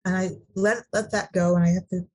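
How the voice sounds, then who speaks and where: A middle-aged woman from California sharing her personal story at a recovery meeting, halting, with many restarts and repeated words. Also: on the low side, little pitch movement, darkish tone. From Sacramento, California.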